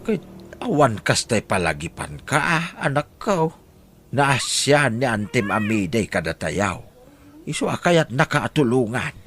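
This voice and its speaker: Man playing older farmer grandfather, humble, deferential, slow, mid-pitched, conversational audio drama, noisy recording. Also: big pitch swings, a bit bright. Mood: gently admonishing. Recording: clearly noisy background.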